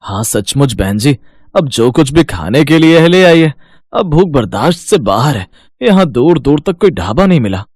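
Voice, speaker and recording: A man's voice: medium pitch, very animated, moderate pace, darkish tone, no echo, clean studio sound. A man playing a practical, rich city husband and father who reasons in an exasperated but polite manner in a cartoon story. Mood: hungry, polite.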